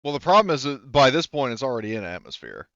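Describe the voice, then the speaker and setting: A man's voice: medium pitch, sing-song, fast, creaky, fairly bright tone, no room sound, muffled recording. A man running a tabletop game as game master, narrating casually and often restarting his sentences.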